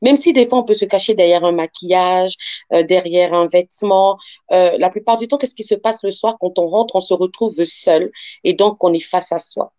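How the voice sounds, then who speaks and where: A woman's voice: fairly low pitch, moderate pace, dark tone, no echo, lo-fi sound. A woman from France with African roots, speaking as a guest sophrologist in an interview and explaining step by step with examples and rephrasing. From born African, grew up in France. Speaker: guest, sophrologist and life coach.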